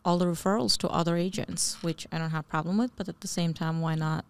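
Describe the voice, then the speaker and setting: A woman's voice: lowish voice, steady tone, fast, clear, resonant tone. A woman in her forties, from Bangladesh and raised in New Jersey, chatting as an interview guest, rambling and laughing often. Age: 40s. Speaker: real estate investor guest. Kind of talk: casual interview chat.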